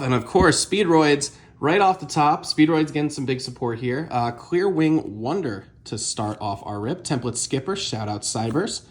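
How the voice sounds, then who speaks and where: A man with a cold, sounding a bit nasal, chatting casually while he opens trading card packs for his channel. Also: on the high side. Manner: chatty, many ums and uhs. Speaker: card collector opening booster packs. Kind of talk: casual unboxing vlog.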